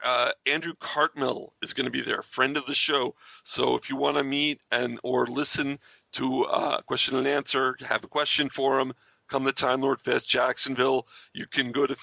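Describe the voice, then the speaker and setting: A man's voice: medium pitch, creaky, pushed and bright, no echo. A man hosting a podcast, chatting casually as he plugs upcoming events. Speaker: podcast host and convention merchandise seller.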